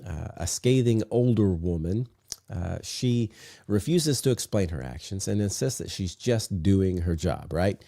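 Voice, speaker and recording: Male podcast host with a cold, deep mellow tone and a dry sound, laid-back delivery. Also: measured pace, vocal fry, no echo. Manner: relaxed, many filler "uh"s. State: sick, congested low voice. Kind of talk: casual podcast chat and reading aloud.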